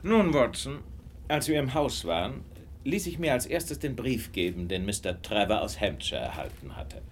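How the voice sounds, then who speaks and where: An actor playing a smug, brilliant detective in a radio drama, with an ironic, drawn-out delivery. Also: male voice, mid-range voice, sing-song, slight background noise, close to an everyday voice. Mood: recounting. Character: brilliant male detective, smug, theatrical and condescending.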